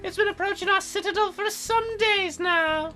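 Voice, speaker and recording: A woman voicing a proud, earnest young cloud fairy girl in a high, girlish voice for a game. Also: moderate pace, bright, forceful tone, soft background music. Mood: earnest, matter-of-fact.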